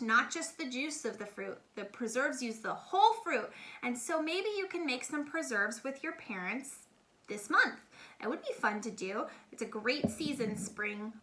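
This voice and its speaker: A woman's voice: fairly high pitch, sharp tone, poor audio. A middle-aged woman speaking casually and enthusiastically to children as a read-aloud host, explaining things simply, in a homemade recording. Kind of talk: casual educational talk addressed to children.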